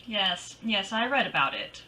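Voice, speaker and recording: A woman's voice: mid-range voice, sing-song, measured pace, pushed and bright. A woman playing a wry, confident, wisecracking private detective in old-time radio style, breezy and flippant. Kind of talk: 1940s radio acting, narration and dialogue.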